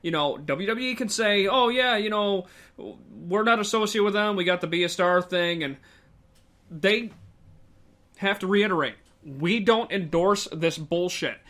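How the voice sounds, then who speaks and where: A man's voice: high voice, measured pace, fairly bright tone. A man co-hosting a casual discussion show, rambling with lots of fillers and some swearing. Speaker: co-host.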